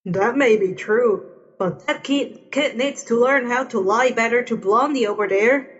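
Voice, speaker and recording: A woman voicing a gossipy, excitable hero ghost with a slangy, dramatic manner in a story reading, drenched in reverb. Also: on the high side, unhurried, lightly acted. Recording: dull, long echoing reverb.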